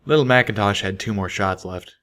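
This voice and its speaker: A man's voice: fairly low pitch, brisk, a bit bright. A man reading a scripted first-person story as an audiobook narrator. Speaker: audiobook reader.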